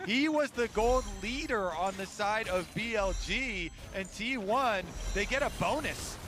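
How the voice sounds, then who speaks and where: A man's voice: high voice, lively intonation, measured pace, over music. A man giving live colour commentary on an esports match, explaining team decisions and plays.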